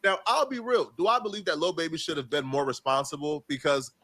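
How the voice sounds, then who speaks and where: Man, reaction show host, fairly high voice, casual animated commentary, street slang. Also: brisk, no echo. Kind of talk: casual commentary on court news.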